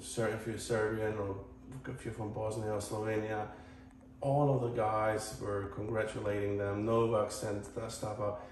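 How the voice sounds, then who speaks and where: Man, Croatian accent, very even intonation, rambling casual vlog talk, earnest, halting, far from the mic with faint noise. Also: on the low side, heavy reverb. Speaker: host of a tennis channel.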